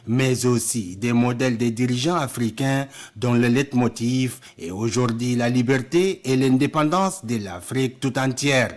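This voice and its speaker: Male news reporter, formal scripted voice-over, mid-pitched, measured and solemn.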